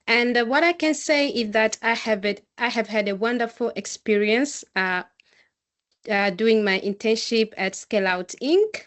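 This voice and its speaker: A woman with a Tanzanian accent gives a semi-formal presentation. Her voice is on the high side. She speaks as an intern and engineer reporting on her work. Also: normal speed, a bit bright.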